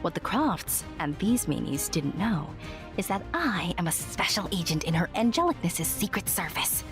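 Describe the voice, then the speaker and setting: A woman's voice: on the low side, moderate pace. A woman playing a small, proud winged secret agent who narrates an audio drama expressively and earnestly. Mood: urgent. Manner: expressive, self-important storytelling. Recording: music behind the voice.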